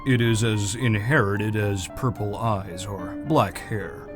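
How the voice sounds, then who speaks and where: A man's voice: fairly low pitch, slow pace, music in the background. A man playing a stern, curt government agency boss in a visual novel game, pedantic, formal and impatient.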